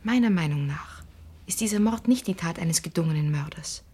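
Breathy anxious young wife. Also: female voice, fairly low pitch, expressive, normal speed, mellow. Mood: earnest. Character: anxious, earnest married daughter of a criminal. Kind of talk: German radio crime drama acting.

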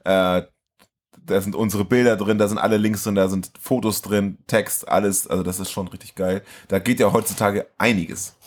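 A man's voice: fairly low pitch, fairly even intonation, moderate pace. A man co-hosting a film podcast in a casual, chatty style. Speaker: podcast co-host.

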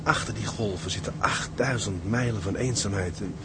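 A man acting a homesick young ship's mate in a Dutch radio drama, gloomy, frightened and halting. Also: on the low side, very noisy, natural voice. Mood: fearful, downcast.

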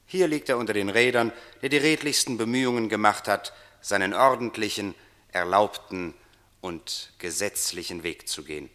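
A man playing a grave, solemn narrator with measured delivery in a literary radio drama. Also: on the low side, fairly bright tone, natural voice.

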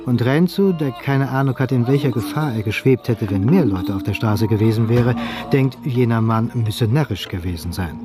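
A man's voice: medium pitch, moderate pace, hardly any pauses, over music. A man narrating a radio play from a script as its storyteller.

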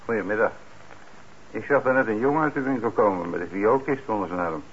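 An actor in a radio play as a coolly official police inspector, measured, formal and probing. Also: male voice, lowish voice, expressive, close to an everyday voice. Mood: polite, official. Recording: dull, noisy old radio recording.

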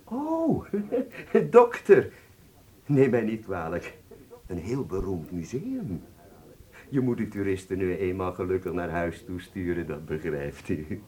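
An actor playing an obsequious, evasive antiquities dealer in a radio drama, with an ingratiating, hesitant manner. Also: male voice, deep voice, sing-song, slow, mellow, heavily acted. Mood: fawning. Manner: ingratiating, hesitant, pleading when pressed. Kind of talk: radio drama acting in Dutch.

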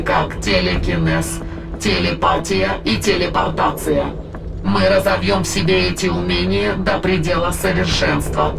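Gravelly, grandiose otherworldly spirit. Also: medium pitch, low-quality recording. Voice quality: gravelly, creaky. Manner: grandiose, measured, formal, coldly persuasive. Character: megalomaniac otherworldly spirit plotting to conquer humanity. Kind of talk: audio drama acting, long villainous monologue. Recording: music bed under the voice. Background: dramatic background music.

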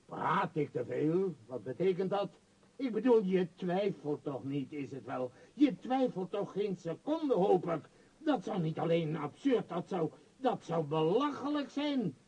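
A man's voice: high voice, sing-song, unhurried, a little mellow, slightly rough, dull audio, heavily acted. An actor in a radio play voicing a vain, powerful middle-aged male tycoon with a pompous, emphatic, scornful manner. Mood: arrogant persuasion. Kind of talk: radio drama acting.

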